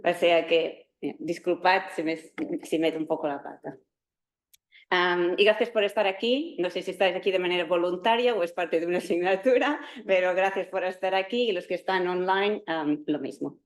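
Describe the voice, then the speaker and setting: A woman's voice: lowish voice, moderate pace. A woman from Catalonia in her forties, a native Catalan speaker, giving a university talk with some fillers and restarts. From Catalonia, Spain. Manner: self-introducing, explanatory, with fillers and restarts. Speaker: university lecturer. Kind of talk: academic talk to students.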